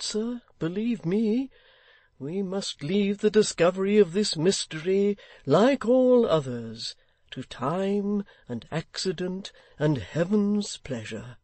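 Man putting on a middle-aged locksmith, earnest and respectful, raised slow delivery, dry close sound. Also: sits high, dry recording, voice pushed up, full character voice. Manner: earnest, respectful, gently persuasive. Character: kindly, plain-spoken male locksmith, middle-aged. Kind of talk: audiobook character voice.

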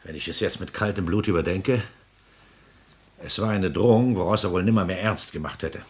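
A man's voice: low-pitched, brisk, natural voice. A man acting a haughty, scheming court president and powerful father in a 1950s German radio drama, with a weighty, imperious, theatrical delivery. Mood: haughty, brooding.

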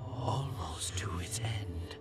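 A man's voice: on the low side, takes it slowly. A man playing a grave, stately otherworldly guide in a fantasy game, speaking formally. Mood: grave, cryptic. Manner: formal, ceremonious.

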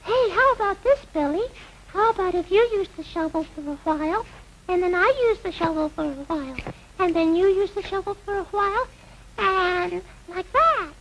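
A man's voice: very high-pitched, expressive, normal speed, background noise. A man voicing an earnest, plaintive little girl puppet in a children's educational show, speaking in a hesitant, childlike way. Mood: hopeful. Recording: old 1960s film soundtrack, music behind.

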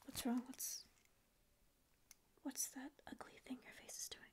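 A woman's voice: sits high, level tone, measured pace. Woman whispering as sarcastic sleep paralysis demon. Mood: grumpy whisper. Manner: whispered, mock-rude, sarcastic. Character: grouchy ancient demon, secretly caring. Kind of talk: ASMR roleplay. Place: small dim room indoors. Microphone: close ASMR microphone.

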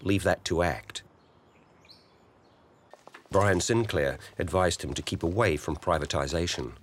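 A man narrating a political documentary in a measured, matter-of-fact way. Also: low voice, slight background noise.

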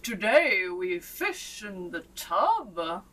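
A woman's voice: mid-range voice, big pitch swings, extremely slow, a bit bright, distant mic, full character voice. A woman voicing a jolly king from a storybook, hearty and commanding in a theatrical way. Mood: jolly, inviting. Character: jolly, booming king lounging in his bath. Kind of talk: storybook read-aloud.

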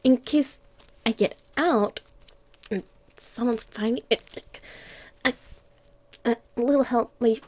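A woman voicing a playful, clingy young catgirl girlfriend in an ASMR roleplay, affectionate and cooing, close to the mic. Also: a little high. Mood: puzzled, reluctant. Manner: soft, affectionate, slow with long pauses, cooing.

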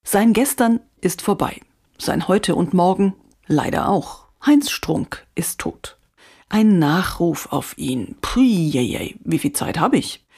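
A woman podcast host giving scripted, dramatic obituary narration with wry, theatrical phrasing and emphatic pauses. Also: mid-range voice, dark tone, husky.

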